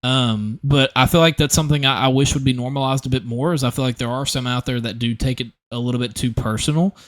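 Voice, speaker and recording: A man's voice: mid-range voice, quick pace. A young man hosting a gaming podcast, talking in an earnest, rambling way with many restarts. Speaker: podcast host. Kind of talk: casual podcast conversation.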